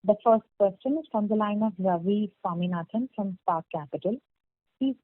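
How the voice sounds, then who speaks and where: Woman, conference call operator, formal scripted delivery, medium pitch, dry narrow telephone sound. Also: normal speed, dry recording. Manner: formal, procedural. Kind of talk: scripted announcements. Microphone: phone conference line. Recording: phone-like conference line.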